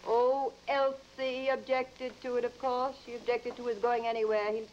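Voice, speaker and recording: A woman's voice: on the high side, level tone, normal speed, darkish tone, lightly acted. A woman playing an outspoken, disapproving older mother-in-law in an old radio drama. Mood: disapproving, emphatic. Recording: muffled, hissy vintage recording.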